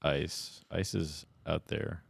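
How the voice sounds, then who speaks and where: Super deep voiced guy rambling jokes on a podcast, dry close mic. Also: fairly even intonation, takes it slowly, vocal fry, husky, dry recording. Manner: rambling, riffing on wordplay. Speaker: podcast co-host. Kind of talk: casual comedic banter. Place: podcast studio.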